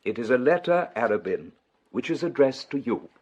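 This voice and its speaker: Husky, kindly elderly English clergyman. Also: mid-range voice, very animated, moderate pace, a little mellow. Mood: courteous, pleased. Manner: kindly, mild, courteous. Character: gentle elderly clergyman, modest, tender father. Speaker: actor. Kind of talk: radio drama dialogue.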